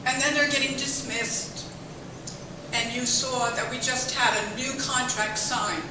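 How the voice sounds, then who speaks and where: Woman audience member, high voice, slow and impassioned, distant mic in a reverberant room. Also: fairly even intonation. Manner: passionate, urging, loud. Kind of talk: impassioned public comment. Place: public library meeting room. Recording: echoey room from far off with noise.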